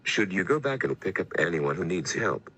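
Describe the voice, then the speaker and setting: A man's voice: low voice, sing-song, moderate pace, pushed and bright, slightly rough. A man reading scripted news and emergency bulletins as an announcer, formal and stiff.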